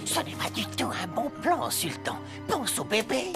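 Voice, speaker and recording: A fussy little cartoon animal, earnest and pedantic. The voice swoops about in an average register, over loud music. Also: female voice, fairly high pitch, wildly swooping pitch, measured pace. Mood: concerned. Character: fussy know-it-all little male animal, cautious.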